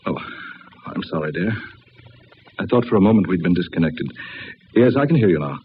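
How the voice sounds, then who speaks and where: An actor playing a genteel, uneasy, well-to-do gentleman in an old radio drama, with a dramatic delivery. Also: deep voice, expressive, brisk. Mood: genteel, courteous. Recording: muffled, noisy old radio recording.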